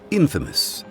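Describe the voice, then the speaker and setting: A man voicing a composed, refined consultant in a game, speaking in a measured, formal, eloquent way. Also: sits low, creaky, exaggerated voice. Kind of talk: game cutscene voice acting.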